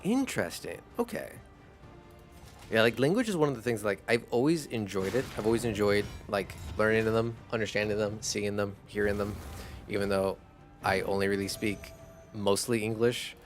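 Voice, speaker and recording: A man whose first language is Spanish, chatting casually as a streamer while gaming, in a rambling, relaxed way. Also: fairly low pitch, normal speed, soft background music. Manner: rambling, relaxed, laughs easily.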